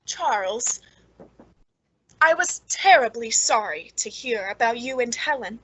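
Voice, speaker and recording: A woman's voice: on the high side, expressive, a little slow, a bit bright, husky, no room sound, heavily acted, poor audio. An actress playing a lonely, eager, nervously polite woman in an old-time radio suspense drama, hesitant and genteel. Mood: uneasy, probing.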